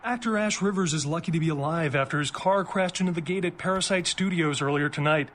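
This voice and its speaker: A formal male news reporter played by a man for a video game. He reads a scripted bulletin in a voice pitched a bit above average. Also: fast, a bit bright, slight background noise, natural voice. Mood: formal, reporting. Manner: formal newsreader delivery.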